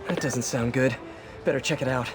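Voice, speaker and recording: A man's voice: mid-range voice, normal speed, over music, lightly acted. An actor with an American accent playing a young, quippy, earnest male superhero in a video game. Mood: wry. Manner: wry, quippy. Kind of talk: video game cutscene acting. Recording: loud vehicle and ambience noise behind.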